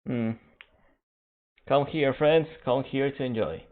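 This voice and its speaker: Phone-like, halting male stream commentator. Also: medium pitch. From Canada. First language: Spanish. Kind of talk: casual stream chat while waiting for a match. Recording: narrow phone-like band.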